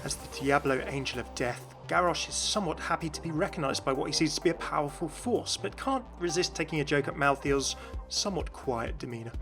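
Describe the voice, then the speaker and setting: A man's voice: on the high side, animated, brisk, fairly bright tone, soft background music. A man giving scripted explainer narration as the host of a video game lore video.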